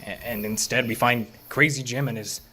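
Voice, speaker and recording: A man's voice: mid-range voice, steady tone, normal speed, sharp tone, slight background noise, natural voice. An actor playing a skeptical, weary lawman in an audio horror drama, with a dry, dismissive way of talking. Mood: wry, dismissive.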